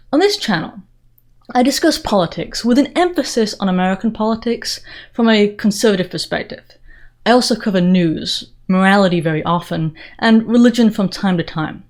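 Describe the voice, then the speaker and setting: A woman's voice: fairly low pitch, a little mellow. Woman with blended English-Southern US accent. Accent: mix of Northern English and Southern US. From England. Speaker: political commentary video host. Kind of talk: direct-to-viewer channel introduction monologue.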